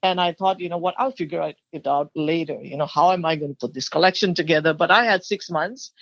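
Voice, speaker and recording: A mature woman from Thailand telling her own story as an interview guest, animated and expressive. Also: low voice, quick pace, vocal fry, no echo. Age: middle-aged or older. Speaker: guest, bridal designer. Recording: dull, lo-fi sound.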